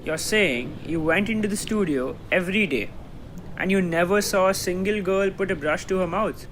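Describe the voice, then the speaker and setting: A young man acting in a radio drama as a forceful, skeptical lawyer cross-examining a witness. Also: high-pitched, normal speed, slight background noise, poor audio. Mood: incredulous, pressing. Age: teenager.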